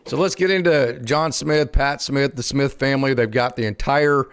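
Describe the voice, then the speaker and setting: A man's voice: medium pitch, moderate pace, smooth. A man hosting a casual interview, asking questions and often cutting in with short agreements. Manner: enthusiastic, affirming interjections. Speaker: host.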